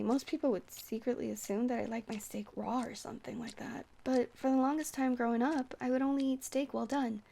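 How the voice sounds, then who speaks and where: A woman's voice: on the high side, creaky. A woman playing a shy, friendly vampire who rambles hesitantly and flirts sweetly in an ASMR roleplay. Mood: awkward, chatty. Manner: hesitant, rambling, soft-hearted, awkwardly stammering.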